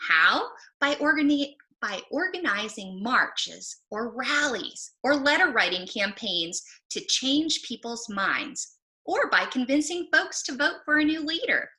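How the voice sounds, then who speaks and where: Bright, animated woman presenting books for children. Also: high voice, measured pace, poor audio. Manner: expressive, explanatory, posing questions. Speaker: library presenter. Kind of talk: book talk for children.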